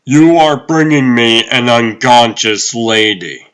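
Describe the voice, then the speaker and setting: A man's voice: medium pitch, slow pace, fairly bright tone, smooth. A man voicing a hot-tempered, exasperated police detective in a comic book dub, indignant and blustering. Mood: skeptical, annoyed.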